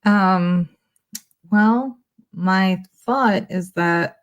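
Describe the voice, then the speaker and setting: A woman's voice: medium pitch, very slow, clear, resonant tone, muffled recording. A woman chatting casually as a guest tarot reader, hesitant and thinking aloud. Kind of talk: casual chat.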